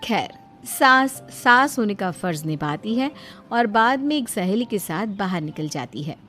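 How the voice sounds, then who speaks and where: A woman's voice: mid-range voice, animated, measured pace, sharp tone. A woman narrating a scripted moral story as its storyteller. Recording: music behind the voice.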